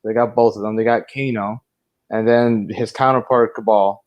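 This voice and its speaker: A man's voice: on the low side, fairly even intonation, moderate pace, muffled recording. A man speaking as a panelist in a casual, conversational debate on a review show.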